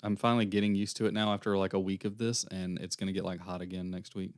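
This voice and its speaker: A young man co-hosting a casual podcast, slightly hoarse as he loses his voice, rambling through a recap with fillers. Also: low voice, level tone, fast talker, no room sound, clean studio sound. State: losing his voice, airy. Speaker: podcast co-host. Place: small podcast room.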